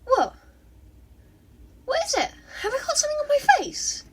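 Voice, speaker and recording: A woman's voice: very high-pitched, sing-song, moderate pace, voice pushed up. A woman voicing a playful, teasing teenage girl villain in a story reading. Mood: puzzled, inquisitive. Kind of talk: fan fiction read aloud.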